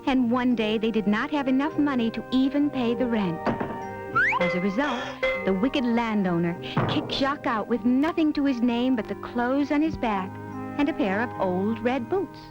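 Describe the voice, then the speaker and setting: A woman's voice: on the high side, normal speed. A woman narrating a children's fairy tale from a script, as a storyteller. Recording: dull audio with music behind. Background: music.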